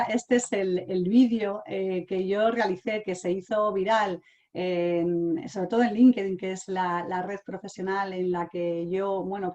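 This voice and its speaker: Woman in her forties, online talk, halting, full of fillers, mid-pitched voice. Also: a little mellow, dull audio. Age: 40s. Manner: hesitant, many fillers and restarts. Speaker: speaker. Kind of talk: online talk sharing personal experience. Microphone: online talk mic.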